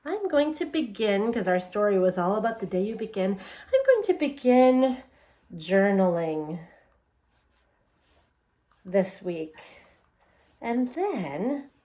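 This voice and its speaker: A woman teacher reading a picture book aloud to young children, encouraging and deliberate, putting questions straight to her listeners. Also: mid-range voice, very animated, warm tone. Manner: encouraging, asks questions and answers them.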